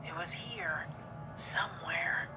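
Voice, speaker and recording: Breathy female horror story narrator over loud music. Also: fairly low pitch, steady tone, slow pace. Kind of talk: dramatic scripted story narration.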